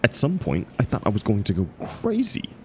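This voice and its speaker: A man's voice: fairly low pitch, huge pitch swings, fairly quick, a little hiss or hum. A man acting a grumpy, exasperated book club member in an audio roleplay, sarcastic, emphatic and indignant. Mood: grudging, defensive. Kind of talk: scripted audio roleplay acted as one side of a conversation.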